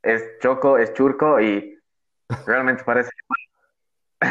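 A man's voice: medium pitch, slow pace, fairly bright tone. A young man with a Bolivian Spanish accent hosting a podcast, joking and laughing easily. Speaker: podcast host. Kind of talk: casual podcast chat. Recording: dull lo-fi sound.

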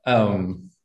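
Thoughtful New man, low voice. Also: a little mellow. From New Zealand. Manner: thoughtful, searching for words, frequent ums and laughs. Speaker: podcast guest and channel host. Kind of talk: casual conversational interview.

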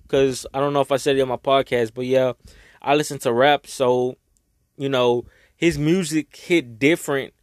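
Young man, raspy from crying, rambling, heartfelt, swearing, medium pitch. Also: dry recording, studio quality. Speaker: vlogger. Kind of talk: casual emotional monologue. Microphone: own microphone.

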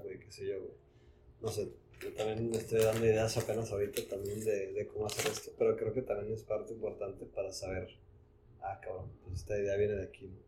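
A man's voice: fairly low pitch, mellow, slight background noise. A man with a Mexican accent hosting a casual podcast, laid-back and peppering everything with slang fillers. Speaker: podcast host. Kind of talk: casual conversation.

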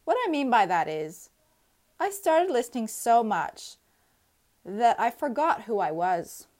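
A woman in her thirties reading her own personal essay aloud in an earnest, reflective, measured way. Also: on the high side, big pitch swings, darkish tone. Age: 30s.

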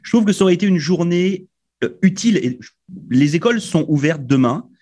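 A man's voice: high voice, lively intonation, brisk, a little mellow. A man from Europe hosting a radio panel discussion, emphatic and hesitant with many fillers. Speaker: host. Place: radio studio.

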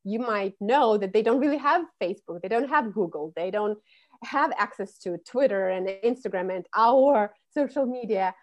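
A woman's voice: mid-range voice, animated, moderate pace, lo-fi sound. A woman, a public speaking coach who explains things fluently in an interview. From Russia. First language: Russian. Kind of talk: podcast interview.

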